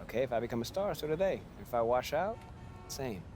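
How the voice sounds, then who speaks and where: A man's voice: medium pitch, very animated, fairly quick, darkish tone, faint music behind, lightly acted. A man acting a blunt, streetwise American basketball mentor in a game cutscene, firing rhetorical questions with emphasis. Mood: earnest lecturing. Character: seasoned basketball mentor, blunt, preaching hard realism to youngster.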